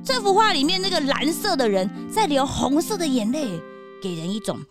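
A young cartoon sidekick with a very high voice that leaps around freely. The character is naive, curious and excitable, exclaiming dramatically at everything in a children's detective story, with music underneath. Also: female voice, normal speed. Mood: uneasy. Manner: exclamatory, wide-eyed, dramatic reactions.

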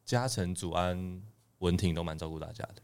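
A man's voice: low-pitched, a little fast, darkish tone, smooth. A young man with a Taiwanese Mandarin accent chatting casually as an idol trainee guest on a podcast. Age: young adult.

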